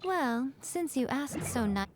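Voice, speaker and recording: A woman playing a poised, teasing shrine guardian in a video game, speaking in a coy, elegant, measured way. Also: high voice, animated, background noise. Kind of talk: scripted video game dialogue.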